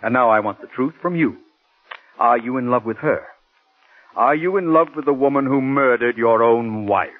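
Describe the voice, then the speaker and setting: An actor playing a bitter, relentless district attorney in a 1940s radio drama, speaking in a formal, accusatory manner. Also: male voice, medium pitch, normal speed, lightly acted. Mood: stern, insistent. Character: relentless, bitter male district attorney cross-examining in court. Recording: muffled 1940s radio broadcast recording.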